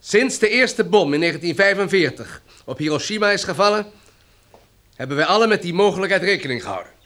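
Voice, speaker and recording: A man acting in a radio drama as a solemn, composed chairman who addresses survivors in formal, measured oratory. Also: sits high, expressive, pushed and bright. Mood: resolute, grave.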